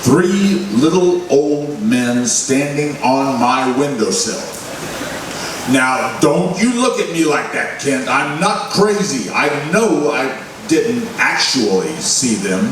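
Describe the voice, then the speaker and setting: A man's voice: mid-range voice, expressive, slow pace. Man acting a blustery, frazzled newspaper boss, wildly swooping. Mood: flustered, defensive. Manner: exasperated, theatrical, emphatic delivery. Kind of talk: old-time radio drama acting. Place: live stage recreation at a festival. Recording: echoey hall, distant mic, noisy.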